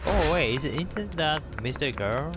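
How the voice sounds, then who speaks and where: A man's voice: fairly high pitch, animated, moderate pace, noisy background. A man giving casual commentary as he reacts to a video game. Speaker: gamer reacting to a game story. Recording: loud game music under the voice.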